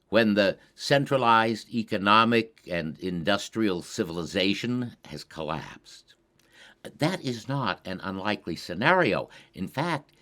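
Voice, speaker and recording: A man presenting his own program in a deliberate, formal, bookish way, with emphatic pauses in a measured monologue. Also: fairly low pitch, fairly bright tone.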